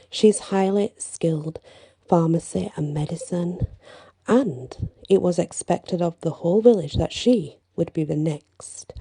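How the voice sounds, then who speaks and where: A woman softly reading a bedtime story in ASMR style, breathy and drifting into whispers, lingering between short phrases. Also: on the low side, no room sound.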